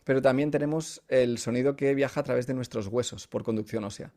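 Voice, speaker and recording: A man's voice: mid-range voice, a little fast, dark tone. A man with a Spanish accent from Spain, a voice coach chatting as a podcast guest and explaining with everyday examples and check-in questions. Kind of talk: casual explanatory interview chat.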